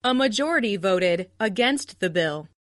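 A woman reading short phrases for language learners, repeating each one as a clear model. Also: medium pitch, animated, normal speed, no echo, clean studio sound. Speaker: language lesson speaker.

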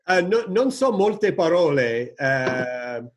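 A man hosting a podcast in casual chat, talking with many fillers and self-corrections, and slipping into halting learner Italian. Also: on the high side, sing-song. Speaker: podcast host.

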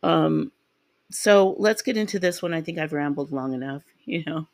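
Woman, podcast host, raspy with a sinus infection, gravelly, chatty, dry room. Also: low-pitched, normal speed, a little mellow, no echo. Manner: rambling and chatty, with ums and restarts. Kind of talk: casual true-crime podcast narration.

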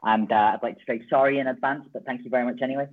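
A man's voice: mid-range voice, fairly even intonation, very fast. A man organizing an awards night, gushing and playful as he talks with the host. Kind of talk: interview chat. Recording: tinny phone line.

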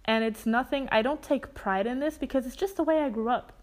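A woman's voice: sits high, a little fast. A young woman from Germany chatting casually with a friend, laughing easily and restarting her sentences. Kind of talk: casual chat between friends.

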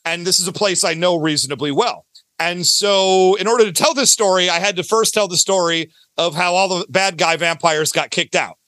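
A man's voice: high-pitched, moderate pace, sharp tone, dry recording, poor audio. A man from Detroit with an American accent, chatting casually and emphatically as a podcast guest who explains his creative work. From Detroit, United States.

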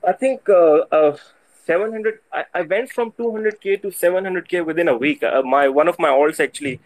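A man with a Pakistani accent, speaking English as a second language, tells his story haltingly as a guest on a live audio show. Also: on the high side, poor audio. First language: not English. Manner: halting, hesitant, frequent hedging. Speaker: guest caller.

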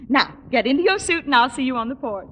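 An actress playing a chirpy, bossy middle-aged wife in 1950s radio comedy, gushing and fluttery, on an old recording. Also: female voice, high-pitched, expressive, quick pace, a bit bright, slight background noise. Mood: excited.

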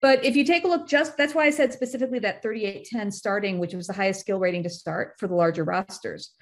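A woman's voice: medium pitch, quick pace. A woman on a podcast panel explaining things analytically and precisely in casual conversation. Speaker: podcast guest.

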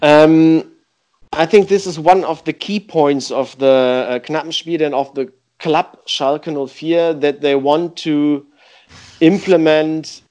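A man, a youth football coach, answering interview questions in halting English with many pauses. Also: on the high side, fairly even intonation, a little mellow.

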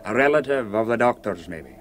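An actor playing an older, hospitable but probing Scottish island minister in a radio drama, speaking with courteous hesitations. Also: male voice, fairly low pitch, expressive, a little fast, fairly bright tone, slight background noise. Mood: courteous, solicitous.

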